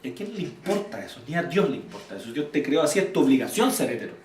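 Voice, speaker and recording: Lively high-voiced Chilean man teaching. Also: quick pace, a little hiss or hum. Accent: Chilean Spanish. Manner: animated, questions the audience, blunt. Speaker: church speaker teaching volunteers. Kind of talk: informal church teaching talk with audience questions. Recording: echoey room, voice from far off.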